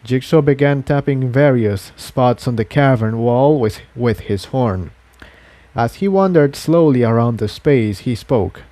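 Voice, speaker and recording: A man narrates an adventure story in a mellow, dark voice. The recording is dry and close, and his delivery is that of scripted reading. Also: medium pitch, expressive, measured pace, no room sound. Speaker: fan fiction reader.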